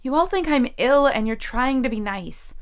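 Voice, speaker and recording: A woman's voice: high voice, expressive, normal speed, clear, resonant tone. A young woman acting in an audio drama as a troubled, exhausted student who is guarded and curt. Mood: bitter, hurt.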